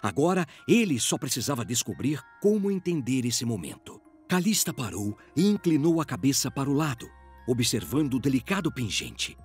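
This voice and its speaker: A man's voice: mid-range voice, very animated, normal speed, husky. A man with a Brazilian accent giving dramatic scripted narration of a fantasy audio drama. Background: music.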